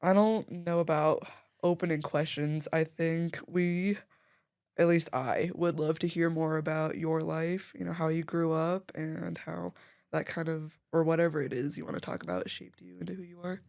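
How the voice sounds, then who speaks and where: A woman's voice: low voice, steady tone, moderate pace, creaky, darkish tone. A woman hosting a podcast, chatting casually and laughing often. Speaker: podcast host. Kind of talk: casual conversation.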